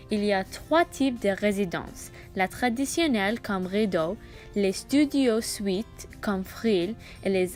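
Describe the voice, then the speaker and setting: A young woman narrating a school-project video tour in a careful, read-aloud manner. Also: mid-range voice. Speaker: student presenting a school project. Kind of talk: scripted voice-over narration.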